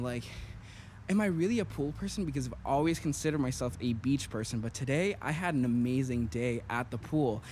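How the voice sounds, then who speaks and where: A young man from Puerto Rico in his twenties rambles through a casual chat between songs. He talks at a middling pitch, leaning on "like" and "you know", with faint background noise behind him. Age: 20s. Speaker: singer introducing songs.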